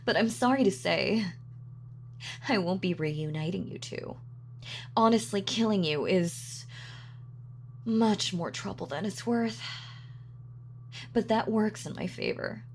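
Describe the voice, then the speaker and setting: A woman's voice: mid-range voice, animated, slow, creaky, long pauses, a little hiss or hum. A woman playing a gloating, sadistic supervillain in an audio roleplay, with a mock-sweet, sardonic, taunting manner. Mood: cold menace. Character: gloating female supervillain, sadistic, darkly playful. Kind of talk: villain monologue in audio roleplay.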